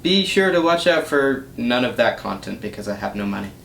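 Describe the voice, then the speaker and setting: A man's voice: expressive, fairly quick, creaky, fairly bright tone. A young man giving a casual, rambling update as host of a gaming channel, recorded indoors in a small room. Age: young adult. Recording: roomy echo, low rumbling noise.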